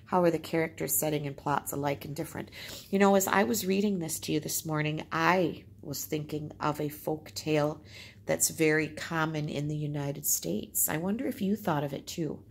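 A woman's voice: low-pitched, steady tone, moderate pace, creaky. A woman teacher reading aloud and explaining in simple phrases, as in a storytime lesson.

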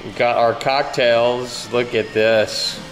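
A man's voice: mid-range voice, steady tone, takes it slowly, soft background music, low-quality recording. A middle-aged man chatting casually and playfully as a vlog host, talking to a camera held at arm's length. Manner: playful, joking. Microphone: action camera at arm's length.

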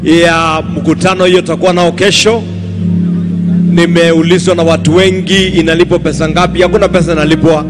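High-voiced Kenyan man on stadium PA. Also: flat delivery, fairly quick. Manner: long flowing thank-yous and instructions. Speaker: crusade organizer. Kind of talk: stadium announcements. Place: large outdoor stadium at night. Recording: reverberant stadium PA, faint music.

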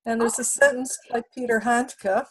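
An older woman from Canada speaking up in an online class from her home, thoughtful and measured, then candid. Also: fairly high pitch, fairly even intonation, a bit bright, slight background noise, poor audio. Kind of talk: class discussion over video call. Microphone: laptop microphone on a video call.

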